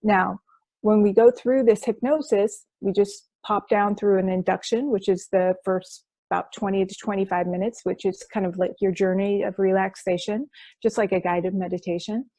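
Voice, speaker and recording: A woman's voice: mid-range voice, steady tone, moderate pace, mellow, no echo. A woman who works as a hypnosis practitioner, explaining her sessions as a guest in an interview, enthusiastic and often restarting her phrases.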